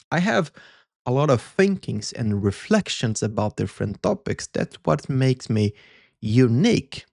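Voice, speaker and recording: A Brazilian-accented man whose voice has a gravelly, fry-tinged edge. He hosts a solo talk in an earnest, reflective tone, pausing to find his words in a second language. The sound is dry and close. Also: medium pitch, darkish tone, no room sound. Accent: Brazilian Portuguese. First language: Portuguese. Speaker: podcast host.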